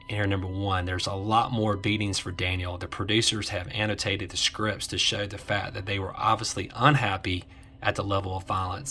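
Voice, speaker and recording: A man hosting an informal explainer commentary, halting as he repeats and restarts his phrases, with music behind him. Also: on the low side, sharp tone. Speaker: host of an eighties film nostalgia series.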